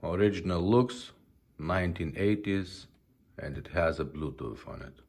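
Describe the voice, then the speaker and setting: A man's voice: low voice, unhurried, gravelly, a little mellow, a little hiss or hum. A man casually presenting a product demonstration, talking viewers through it step by step. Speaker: presenter demonstrating restored car radios.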